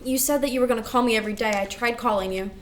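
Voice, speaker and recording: A woman's voice: a little high, racing, slight background noise, close to an everyday voice. A woman playing a worried, motherly, insistent older sister in a staged reading of a play. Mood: worried, urgent.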